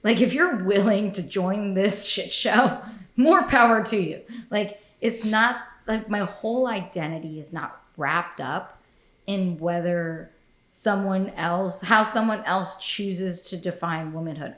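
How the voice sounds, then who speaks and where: A woman's voice: mid-range voice, a little slow, fairly bright tone. A woman hosting a podcast in casual chat, blunt and profane, laughing often. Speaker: podcast host. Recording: echoey room.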